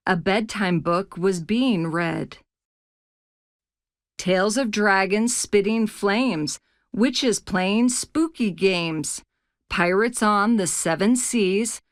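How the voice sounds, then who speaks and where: A woman narrating a rhyming children's picture book as a read-aloud host, with a measured, expressive storytelling delivery. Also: medium pitch, no room sound, studio quality.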